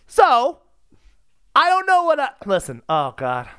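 Guy cohost joking around, super animated and over the top, dry close recording. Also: extremely high pitch, measured pace, clear, resonant tone, no room sound. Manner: theatrical mock indignation and exaggeration. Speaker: comedy podcast co-host. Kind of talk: casual comedic banter.

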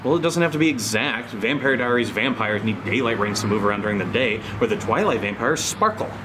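Man acting laid-back deadpan guy, noisy background. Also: fairly low pitch, brisk, lightly acted. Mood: bantering. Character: easygoing, defensive man named Steve, bantering with his friend. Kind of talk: casual naturalistic audio drama dialogue.

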